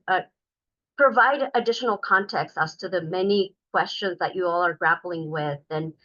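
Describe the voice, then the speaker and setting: A woman's voice: mid-range voice, bright, forceful tone, muffled recording. A middle-aged woman from Denver, a state transportation commissioner, speaking earnestly at a community meeting with many ums and uhs. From Denver, Colorado. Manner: earnest, talkative, many fillers.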